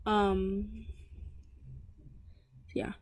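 A woman's voice: medium pitch, little pitch movement, extremely slow. A teenage girl talking casually about packing her lunch in a home kitchen, rambling with lots of fillers and restarts. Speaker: vlogger. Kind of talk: casual voice-over chat.

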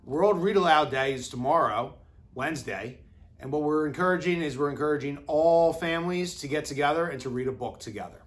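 A man in his thirties reading a children's storybook aloud with deliberate, expressive phrasing, as an educator does for young children. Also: a little high. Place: classroom, indoors.

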